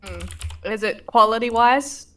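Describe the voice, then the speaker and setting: A woman's voice: on the high side, unhurried, a bit bright. A woman player in a tabletop game, brash and swearing in banter.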